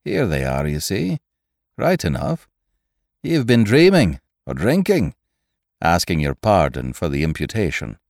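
A man's voice: deep voice, wildly swooping pitch, a little slow, gravelly, a little mellow, no echo, studio quality, exaggerated voice. A man playing a burly, hearty Irish doctor in a story, blunt, emphatic and mocking. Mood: grim, dry.

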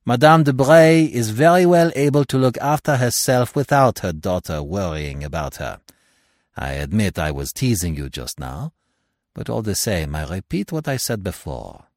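A man voicing an older, self-assured Belgian male detective with a French-speaking Belgian accent and a gently ironic, emphatic, avuncular manner, in an audiobook. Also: fairly low pitch, animated, normal speed, dry recording, studio quality. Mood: teasing, firm. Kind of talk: audiobook character voice.

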